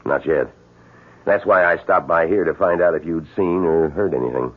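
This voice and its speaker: Muffled old radio sound carries a grim, blunt male police sergeant. His voice is deep and husky, with dramatic inflection. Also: normal speed. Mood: grave, blunt. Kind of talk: 1970s radio drama acting. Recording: muffled 1970s radio broadcast.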